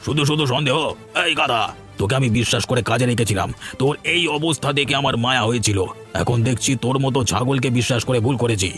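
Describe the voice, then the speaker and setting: A man as a blustering, rapid-fire cartoon boss. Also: medium pitch, a bit bright, natural voice. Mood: furious, accusing. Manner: scolding, insulting, blustering. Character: angry, accusing, bullying male goldsmith shop boss. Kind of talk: animated cartoon dialogue. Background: music.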